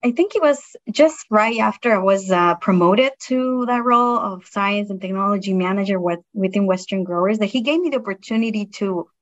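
A woman's voice: medium pitch, brisk, hardly any pauses, muffled recording. A middle-aged woman with a non-native English accent, speaking earnestly and appreciatively as a guest in a reflective interview. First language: not English. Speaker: interview guest, produce industry science manager.

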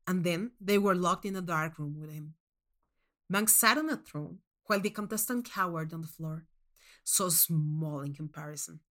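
A woman reads a first-person literary short story as its narrator. Her mid-pitched voice moves widely up and down, giving the scripted prose an expressive, measured storytelling feel.

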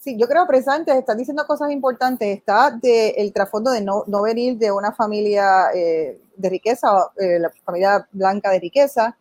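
A woman's voice: medium pitch, moderate pace, hardly any pauses, a little mellow, no echo. A woman hosting a conversational interview, informal and explanatory, often rephrasing her questions as she goes. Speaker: interviewer, host.

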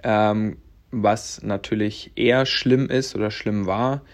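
A young man in his twenties hosts a personal podcast and tells his own story in an earnest, rambling way. His voice sits low, and he leans on plenty of fillers as he goes. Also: fairly even intonation, slow pace. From Germany, raised in Latvia. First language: German and Russian, bilingual. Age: 20s. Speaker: podcast host.

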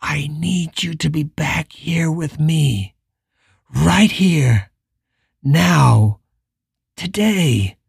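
A reader putting on a remorseful middle-aged man, his voice dropped well below the narration, with a gravelly rasp and a slow, heavy delivery. He pleads with emotion, in a dry, close storybook reading. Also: medium pitch, a little mellow, no echo, voice pushed down, exaggerated voice. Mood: pleading.

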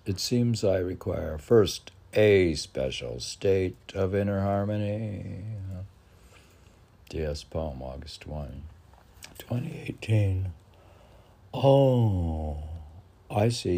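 A man reading his own poetry on an informal podcast, rambling and talking to himself in a halting, musing way, often clearing his throat. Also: deep voice, dark tone. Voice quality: gravelly, husky.

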